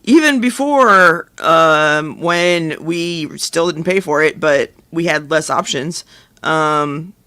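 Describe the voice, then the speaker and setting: A woman's voice: sits low, takes it slowly, pushed and bright, dry recording. A woman co-hosting a podcast in a casual, conversational style. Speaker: podcast co-host. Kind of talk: casual chat.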